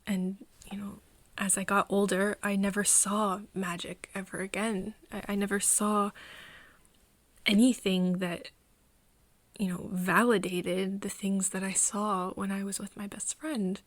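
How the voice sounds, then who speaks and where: A woman acting an earnest, wistful friend in an intimate ASMR roleplay, speaking softly and haltingly, often near a whisper. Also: mid-range voice, flat delivery, dry recording, natural voice. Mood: reflective, resigned. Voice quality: soft, breathy, often whispery. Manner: hesitant, halting, pausing often.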